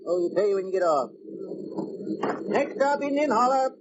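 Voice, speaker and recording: Casting: sly, rustic bus driver, high-pitched voice, old radio drama, muffled noisy recording. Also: male voice, animated, normal speed. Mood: chatty, good-humored. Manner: rustic, folksy country phrasing. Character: folksy, plainspoken rural bus driver, sly and drawling. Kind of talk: 1940s radio acting.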